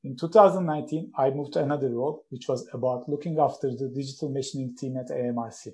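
This is a man, answering interview questions about his work. His voice is mellow and warm rather than bright. He speaks in a measured, explanatory way and sometimes restarts a phrase. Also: mid-range voice. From Turkey. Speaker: guest, company founder.

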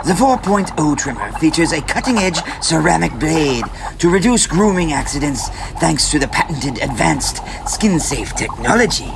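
Man acting a pompous, gravelly pitchman. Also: big pitch swings, normal speed, hardly any pauses, noisy background. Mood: grandly enthusiastic. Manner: formal, grandiose, slightly awkward phrasing. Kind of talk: comic scripted ad read in character.

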